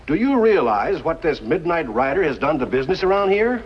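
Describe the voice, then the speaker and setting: An actor playing a plain-spoken, worried small-town banker in a 1950s television western. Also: male voice, fairly high pitch, sing-song, a little fast, husky. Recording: muffled, noisy old television soundtrack.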